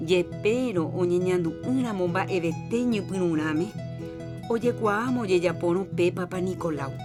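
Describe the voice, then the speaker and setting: A woman with a Paraguayan accent reading a scripted public health announcement in a measured, informative way. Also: on the low side. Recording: music bed under voice.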